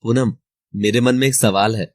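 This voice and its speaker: A woman voicing a calm, protective young husband with measured, reassuring speech. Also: rumbling low, pitched below the performer's own voice. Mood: gently serious. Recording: music clearly playing behind the voice.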